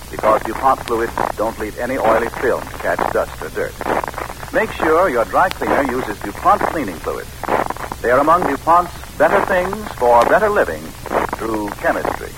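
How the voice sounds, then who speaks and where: A man's voice: medium pitch, big pitch swings, normal speed. A man reading an old-time radio commercial in a polished, instructive manner. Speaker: commercial announcer. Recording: noisy old radio recording.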